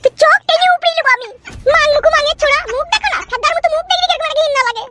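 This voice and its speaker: Squeaky, rapid-fire scolding cartoon wife. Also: female voice. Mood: furious, threatening. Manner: scolding, sarcastic. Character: shrill, sarcastic, foul-mouthed scolding village wife. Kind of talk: comic cartoon dialogue.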